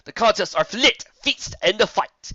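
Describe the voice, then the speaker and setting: A woman voicing a stocky old male griffin ring announcer, declaiming loudly and officially in a storybook. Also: mid-range voice, expressive, moderate pace, no room sound, muffled recording, pitched above the performer's own voice. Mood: official, proclaiming.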